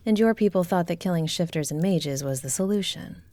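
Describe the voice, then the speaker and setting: A woman plays a shrewd, composed royal shifter in a fantasy audio drama. The voice is very low and dark, with formal, measured phrasing. It is recorded dry and close. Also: no room sound, close to an everyday voice. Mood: grave, probing. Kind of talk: audio roleplay acting, fantasy dialogue.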